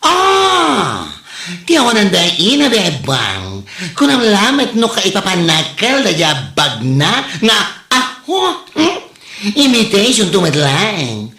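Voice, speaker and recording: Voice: mid-range voice, wildly swooping pitch, takes it slowly, sharp tone, heavily acted. An actor plays a gossipy co-worker in an Ilocano comedy drama, mocking and full of exclamations. The delivery is drawn out and dramatic, with an Ilocano Filipino accent and echoey room sound. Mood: mocking, offended.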